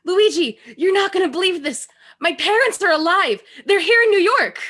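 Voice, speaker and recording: Sassy young woman for a comedic screenplay reading, acted by a woman, high-pitched, forward tone, emphatic. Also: expressive, moderate pace, a bit bright. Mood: excited. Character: sassy guarded young shop woman, Hildy.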